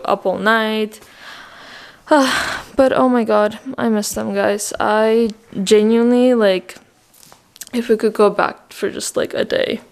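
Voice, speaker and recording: A woman's voice: medium pitch, gravelly. A woman in her twenties from Azerbaijan, whose first language is Russian, talking casually and reflectively in a vlog with many "like" fillers. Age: 20s. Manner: chatty, frequent "like" fillers, reflective. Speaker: vlogger.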